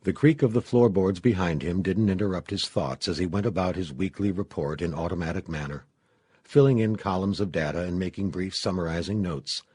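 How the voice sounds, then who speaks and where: A man's voice: deep voice, brisk, a little mellow, slightly rough, dry recording. A man narrating a suspenseful story from a script as an audiobook reader.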